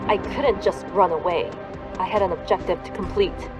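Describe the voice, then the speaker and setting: A woman's voice: lowish voice, normal speed, over music, close to an everyday voice. A woman playing a guarded, dutiful young soldier in a video game, speaking in a curt, businesslike way. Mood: indignant, guarded. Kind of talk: video game cutscene acting.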